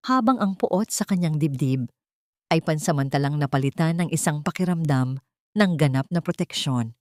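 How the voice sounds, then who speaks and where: A woman with a Filipino accent narrates a scripted romance drama. Her voice sits on the low side and the delivery is melodramatic. The recording is very dry, with no room sound. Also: darkish tone, no echo.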